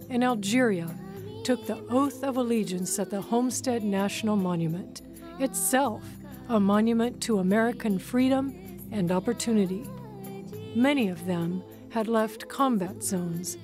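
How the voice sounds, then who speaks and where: A woman giving a measured, scripted documentary narration. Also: medium pitch. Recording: voice-over with music beneath.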